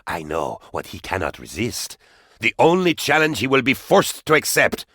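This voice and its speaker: A man's voice: medium pitch, wildly swooping pitch, moderate pace, pushed and bright, no room sound, studio quality, heavily acted. A man playing a pompous, bumbling and self-important presidential aide in a video game, speaking in a melodramatic, theatrical way.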